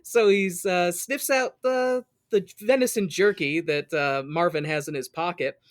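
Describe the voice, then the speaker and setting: A man's voice: high voice, a little slow, no echo, clean studio sound. A man co-hosting a podcast, casually retelling a story in a jokey, conversational way. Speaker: podcast co-host. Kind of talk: casual retelling of a stage musical.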